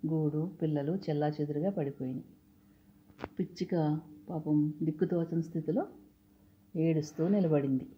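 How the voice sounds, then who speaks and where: Low-pitched woman telling children's stories. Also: normal speed, long pauses, muffled recording, slight background noise. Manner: asks the children questions, repeats and explains key words. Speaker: storyteller. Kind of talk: children's storytelling.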